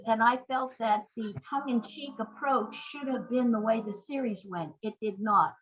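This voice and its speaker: An older woman reminiscing in a conversational interview, wordy and halting as she corrects herself. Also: on the high side, a bit bright. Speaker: interview guest, playwright and screenwriter. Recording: dull, lo-fi audio.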